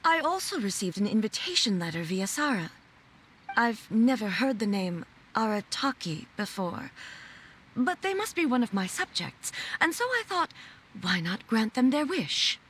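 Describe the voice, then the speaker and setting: An actress playing a solemn, regal ruler in a video game, speaking with formal, stately gravity. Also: female voice, fairly high pitch, very animated, normal speed, a bit bright, a little hiss or hum, poor audio. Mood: matter-of-fact.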